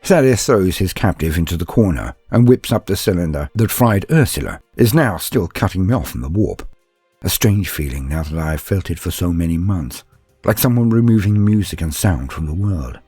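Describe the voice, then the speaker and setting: A man's voice: sits low, expressive, normal speed, dark tone, husky, no echo. A man narrating as a storyteller and host, in a scripted, theatrical style with courtly phrasing. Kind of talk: dramatic first-person story narration and scripted channel address. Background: background music.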